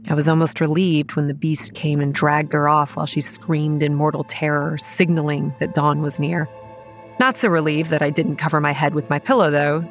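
Faint music sits behind a young female campground manager in a horror audio drama. Her voice is low and measured, telling eerie things in a pragmatic, wry, matter-of-fact way. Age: young adult. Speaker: narrator and lead character. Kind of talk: first-person scripted horror narration and dialogue.

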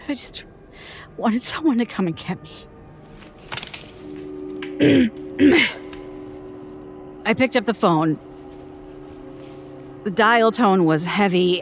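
An actress playing a shaken woman who haltingly recounts a traumatic childhood ordeal in an audio drama monologue. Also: lowish voice. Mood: fearful, upset. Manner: halting, haunted storytelling. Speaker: interviewee.

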